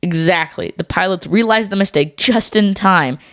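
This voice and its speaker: Low-voiced woman co-hosting an educational podcast. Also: normal speed, no room sound, studio quality. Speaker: podcast co-host. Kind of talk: scripted educational podcast for children.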